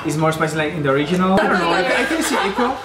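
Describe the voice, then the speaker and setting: A man's voice: sits high, lively intonation, very fast, roomy sound, over a noisy crowd. A young man hosting a casual food vlog in a small restaurant, playful and laughing, with slightly non-native phrasing, recorded on a handheld camera. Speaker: vlogger host. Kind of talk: casual chat. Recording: loud background music.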